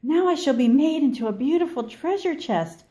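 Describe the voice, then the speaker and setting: A woman voicing a proud, eager young tree with big dreams in a storybook, excited and declaiming. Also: high-pitched, moderate pace, pitched above the performer's own voice.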